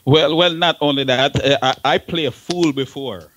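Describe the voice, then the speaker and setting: A man's voice: a little high, moderate pace, a bit bright. Emphatic Jamaican man in discussion. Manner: emphatic, repeats words and phrases. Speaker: talk show panelist. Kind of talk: informal advice in panel discussion.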